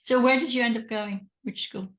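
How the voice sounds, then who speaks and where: A woman's voice: a little high, fairly even intonation, a little fast, fairly bright tone. Woman podcast host asking curious questions. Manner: curious, prompting questions and brief affirmations. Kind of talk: conversational interview.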